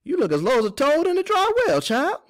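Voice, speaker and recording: A man voicing a kindly older enslaved woman, a wise herbalist telling things in a gentle, folksy way for a storybook reading. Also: very high voice, wildly swooping pitch, a little fast, pitched above the performer's own voice, exaggerated voice. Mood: firm, gentle.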